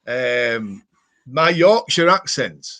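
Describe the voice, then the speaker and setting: A man's voice: mid-range voice, lively intonation, extremely slow, pushed and bright. A man with a Cumbrian accent casually hosting a live show, chatting and answering viewer comments in a rambling way. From Cumbria, England. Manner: rambling, chatty, repeats catchphrases. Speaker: livestream host.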